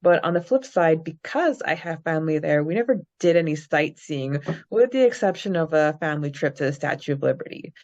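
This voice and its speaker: Young woman chatting on a fan podcast, lowish voice, kinda muffled audio. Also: animated, fairly quick, darkish tone. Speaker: podcast co-host. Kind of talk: casual fan podcast chat.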